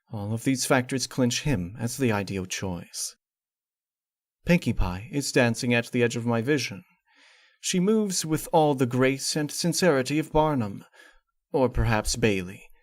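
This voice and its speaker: A man's voice: mid-range voice, dry recording, studio quality. A man reading a scripted gothic horror story in the first person, with formal, theatrical and precise phrasing. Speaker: narrator reading fan fiction.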